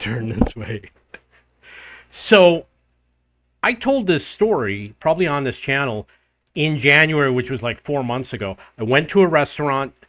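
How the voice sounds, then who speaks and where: An older man, host of his own travel channel, telling a rambling personal story to the camera in a loud voice. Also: mid-range voice, dry recording. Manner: rambling, restarts and loops back in the story. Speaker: vlogger living abroad.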